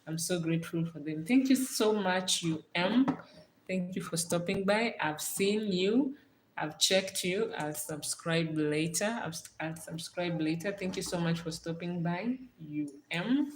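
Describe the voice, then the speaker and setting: Echoey, lower-pitched Kenyan woman livestreaming. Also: a little slow. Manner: repeats phrases for emphasis. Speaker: livestream host. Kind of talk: casual livestream talk. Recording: roomy echo, clear background noise.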